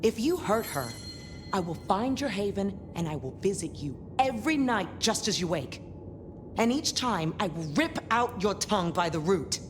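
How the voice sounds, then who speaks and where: A woman's voice: mid-range voice, lively intonation, normal speed, over music, natural voice. A woman voicing a tough, protective vampire in a video game, curt, hard and threatening. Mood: protective, menacing. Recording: clear ambient noise behind the voice.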